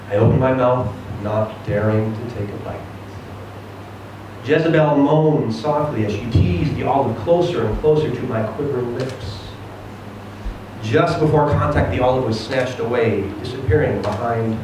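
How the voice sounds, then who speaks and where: A man giving an expressive, theatrical reading of a first-person short story. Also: medium pitch. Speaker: reader of a short story at a live event. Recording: very echoey room, distant mic, noisy.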